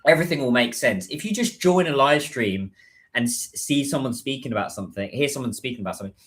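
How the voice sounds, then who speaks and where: A British man talks loosely to viewers on a livestream, his voice sitting at an ordinary middle pitch. He rambles, restarts sentences and fills gaps with "um" and "like". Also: expressive, quick pace, fairly bright tone. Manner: rambling, chatty, many fillers and restarts. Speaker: livestreamer and business content creator. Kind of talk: casual livestream chat.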